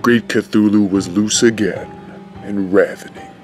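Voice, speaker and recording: A man as a deep, slow horror narrator. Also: steady tone, darkish tone, soft background music, natural voice. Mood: ominous. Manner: solemn, portentous. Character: grave omniscient narrator of cosmic horror. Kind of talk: scripted dramatic narration.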